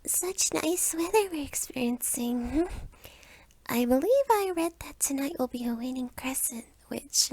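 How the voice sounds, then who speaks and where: A woman voicing a sweet, chatty young vampire girl next door in a soft, coy ASMR roleplay manner. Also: sits high, no room sound, pitched above the performer's own voice.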